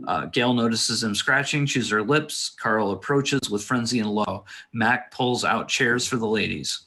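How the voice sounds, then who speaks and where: Low, forceful male narrator. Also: measured pace. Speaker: stage-direction narrator. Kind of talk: scripted screenplay narration.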